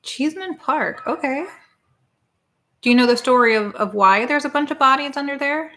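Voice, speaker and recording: A woman's voice: high voice, expressive, moderate pace, bright, forceful tone. A woman co-hosting a live stream, chatting casually and rambling as she reads viewer comments. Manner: chatty and rambling, spelling words out. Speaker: co-host of a live watch party stream.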